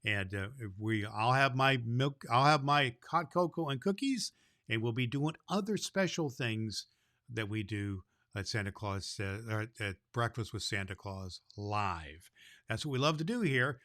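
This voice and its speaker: A man playing a kindly old Santa Claus, hosting a children's Christmas show in a folksy, deliberate storyteller's manner. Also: mid-range voice, expressive, pushed and bright, no room sound, clean studio sound. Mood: friendly, inviting. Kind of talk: scripted announcements and storybook reading for children.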